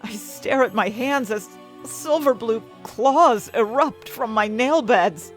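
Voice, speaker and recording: A woman's voice: a little high, expressive, slow pace, faint music behind. A woman acting an anxious, desperate young heroine who tells her own fantasy story in intense, dramatic first-person narration. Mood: panicked. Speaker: narrator and main character of an audio fiction story.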